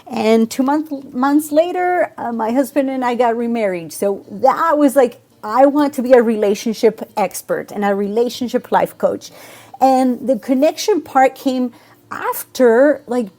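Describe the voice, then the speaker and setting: A woman in her forties from Argentina, speaking with an Argentine Spanish accent, a relationship coach telling her story in an earnest, animated way with fillers. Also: medium pitch. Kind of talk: casual interview talk.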